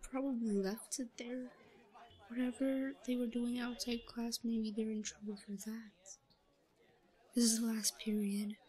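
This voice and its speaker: A woman playing a shy, obsessive, secretly scheming schoolgirl in a roleplay audio drama, muttering to herself in a breathy voice that often drops to a whisper. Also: flat delivery, lightly acted. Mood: scheming, hushed. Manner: hushed muttering to herself, halting. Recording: low-quality recording with faint crowd murmur.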